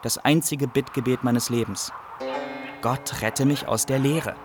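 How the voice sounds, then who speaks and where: A man playing a haunted young Iraqi refugee who narrates gravely and deliberately in a literary radio drama. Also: medium pitch, fairly even intonation, over music, natural voice. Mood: bleak.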